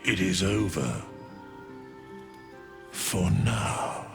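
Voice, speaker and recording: An actor playing an ancient, solemn undead scribe of fate in a fantasy game, speaking in grandiose archaic diction with wry, imperious authority. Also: male voice, deep voice, sing-song, very slow, gravelly, heavily acted. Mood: solemn, measured. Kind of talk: scripted fantasy game acting. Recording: loud background music. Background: music.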